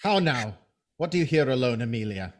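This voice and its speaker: An actor playing a scheming soldier who feigns honesty, in measured, insinuating Shakespearean verse for an audio drama. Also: male voice, mid-range voice, lively intonation, lightly acted. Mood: gruff, impatient.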